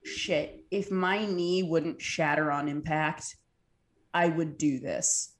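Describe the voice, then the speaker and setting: Chatty woman in her twenties, lowish voice. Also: steady tone, takes it slowly. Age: 20s. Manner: chatty, swears, trails off with fillers. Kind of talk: casual chat.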